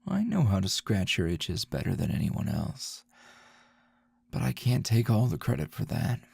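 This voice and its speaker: A man acts out a grumpy middle-aged male teacher as a flirtatious lover in an ASMR roleplay. The voice is low and husky, with pitch that swings in teasing turns. It is recorded close and dry. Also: huge pitch swings, a little mellow, dry recording, heavily acted. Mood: fond, teasing. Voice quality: low, gravelly, husky murmur. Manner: slow, teasing drawl with pauses.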